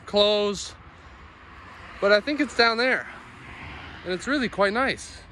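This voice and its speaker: A man's voice: sits high, slow, pushed and bright. A young man chatting casually for a travel vlog on a handheld phone, mostly outdoors in town. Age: 20s or 30s. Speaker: vlogger. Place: mostly outdoors on city streets. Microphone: handheld phone at arm's length. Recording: noisy street ambience on handheld phone.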